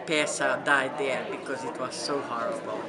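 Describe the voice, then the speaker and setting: A woman's voice: a bass voice, fairly even intonation, moderate pace. Elderly Hungarian-accented woman, busy crowd behind. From Transylvania, Romania. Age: older, 70s or 80s. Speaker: Holocaust survivor giving testimony. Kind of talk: spoken personal recollection to an audience. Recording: large room with audience murmur.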